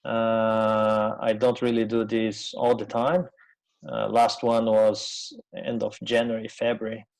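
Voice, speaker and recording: A middle-aged man from Brazil, a native Portuguese speaker with a Brazilian accent, gives an informal webinar presentation in a hesitant, conversational way with frequent pauses. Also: on the low side, monotone, vocal fry. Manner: hesitant, conversational, pausing often.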